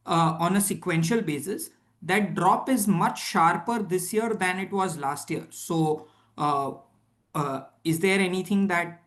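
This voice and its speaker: A woman speaking as an analyst, putting financial questions on an earnings call. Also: on the low side.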